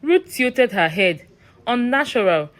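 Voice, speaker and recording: A woman's voice: fairly high pitch, sing-song, measured pace. A woman narrating a scripted horror story in a dramatic, suspenseful manner.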